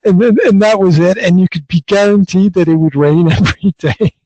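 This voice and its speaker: A man's voice: high-pitched, brisk, dark tone, no room sound, dull audio. A man from the north of Scotland, hosting a casual podcast interview over an online call, friendly and often repeating himself. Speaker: podcast host.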